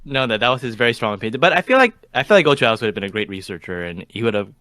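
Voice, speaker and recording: Lively male podcast co-host, teasing manner, dry close sound. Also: medium pitch, rapid-fire, dry recording. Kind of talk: casual chat.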